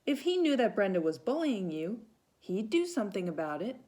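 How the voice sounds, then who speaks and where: A woman's voice: mid-range voice, very animated, moderate pace, dark tone, voice pushed up, lightly acted. A woman voicing a concerned, reassuring mother in a storybook reading.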